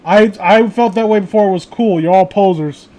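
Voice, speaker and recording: High-voiced man, crude and sarcastic. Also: moderate pace, warm tone. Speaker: host reading horror stories aloud. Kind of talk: casual comedic read-along commentary.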